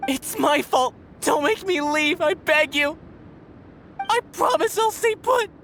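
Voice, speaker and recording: A man's voice: very high voice, animated, slow pace, a bit bright, slight background noise, full character voice. A man voicing a cowering, timid male adventurer in a video game, stammering and shivering with cold. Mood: panicked pleading. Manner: stammering, pleading. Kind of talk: video game character acting.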